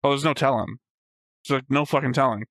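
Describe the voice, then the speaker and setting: A man's voice: medium pitch, fast talker, a bit bright, no echo. A man talking casually as a reaction show host, rambling and explaining his thoughts at length. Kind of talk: casual chat, discussing an anime episode.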